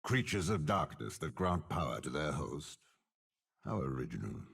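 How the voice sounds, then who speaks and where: Old scheming game warlord, extremely low pitch, husky rasp, unhurried, menacing, disdainful. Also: male voice, big pitch swings, a bit bright, heavily acted. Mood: contemptuous. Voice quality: gravelly, husky. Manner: slow, deliberate, disdainful. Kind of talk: dramatic game character voice lines.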